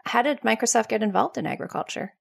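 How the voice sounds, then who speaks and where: A woman hosting a podcast, reading a scripted intro and asking measured interview questions. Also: fairly high pitch, gravelly. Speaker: podcast host.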